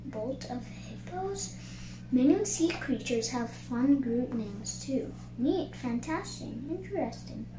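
A child reading a picture book aloud in a halting way, sounding out words. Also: high-pitched, lively intonation. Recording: roomy echo, clear background noise.

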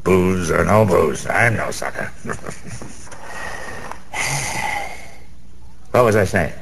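An actor playing a boozy, boastful middle-aged Broadway gambler in a radio drama, rambling in streetwise slang with a wisecracking manner. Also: male voice, sits low, lively intonation, moderate pace, vocal fry. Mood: boozy, bragging. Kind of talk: 1990s radio drama acting. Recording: reverberant hall, faint background noise.

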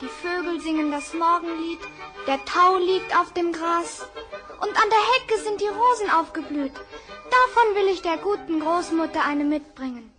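An actress playing a cheerful, innocent little girl in an old record radio play, eager and bright. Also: very high-pitched, a little slow. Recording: vinyl record with music.

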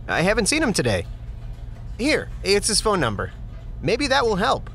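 A man's voice: sits high, wildly swooping pitch, moderate pace, vocal fry, fairly bright tone, heavily acted. An actor playing a chatty, joking office worker who laughs at his own puns in an audio drama. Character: goofy pun-loving male office worker Andy. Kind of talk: audio drama interview monologue.

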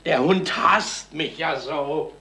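Plaintive, grumbling old pensioner. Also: male voice, a little high, takes it slowly, pushed and bright, exaggerated voice. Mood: reluctant, plaintive. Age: older. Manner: plaintive, evasive. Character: timid, grumbling older male pensioner, reluctant suitor. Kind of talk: 1970s German radio drama acting. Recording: slightly noisy 1970s radio recording.

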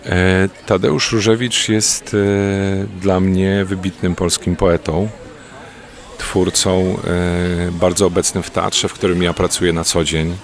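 A man with a very low, flat, gravelly voice. He answers questions off the cuff, pausing often and filling gaps with hesitation sounds. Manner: hesitant, many filler sounds. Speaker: theatre festival organizer, interviewee. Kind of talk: spontaneous interview answer.

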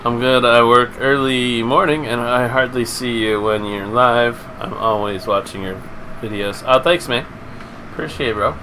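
A man's voice: mid-range voice, moderate pace, bright, forceful tone. Man livestreaming a game, noisy background. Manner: informal, profane, talking to chat. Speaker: live game streamer. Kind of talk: casual livestream gaming chat and commentary. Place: indoors at a home streaming setup. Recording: noisy stream with clear background noise.

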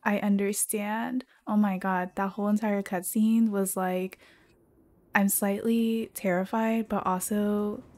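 A woman with a slightly hoarse voice, chatting casually as she reacts to a video game on stream. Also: mid-range voice, level tone, drawn-out words, darkish tone. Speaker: vtuber streamer. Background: video game music.

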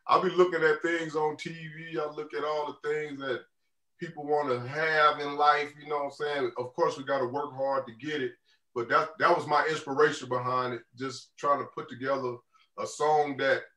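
A man in his thirties with a deep voice and a loose, easygoing way of talking. His tone is bright and forward. The call sound is roomy, as if he sits a little back from his mic. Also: level tone, normal speed. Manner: laid-back, loose, lots of "you know what I'm saying". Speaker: rapper guest. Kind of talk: casual interview answers. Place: indoor room on a video call. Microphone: video call. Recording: roomy video call audio, a bit distant.

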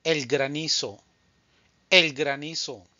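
A man teaching Spanish vocabulary in a scripted drill, speaking slowly and deliberately and saying each answer twice. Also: a little high, sharp tone, no echo, lo-fi sound.

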